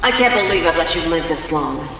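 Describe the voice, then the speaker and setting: A woman's voice: low voice, animated, fairly quick, vocal fry, noisy background. A woman playing a haughty megalomaniac scientist supervillain in a video game, commanding and formal in her threats. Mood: menacing. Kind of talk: animated video game voice acting. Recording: very echoey long reverb, music behind.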